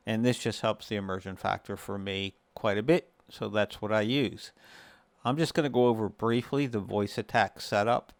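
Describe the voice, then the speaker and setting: A man hosting a gaming guide, narrating a casual tutorial in a measured, explanatory way with short pauses between phrases. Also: lowish voice, steady tone, no room sound. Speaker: host of a gaming guide video series.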